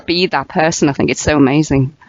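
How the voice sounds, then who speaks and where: A woman's voice: sits low, steady tone, brisk, dry recording. A chatty woman with a light Merseyside accent tells stories and laughs often as she hosts. The recording is dry and dull, with a lo-fi sound. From England, Wirral. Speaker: radio show host. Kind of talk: casual interview chat.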